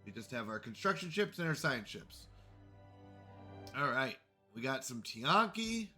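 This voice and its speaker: A man's voice: mid-range voice, sing-song, a little slow, bright, forceful tone, soft background music. A man with a congested voice chatting casually to viewers while playing a strategy game on a live stream. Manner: chatty, greets chat viewers.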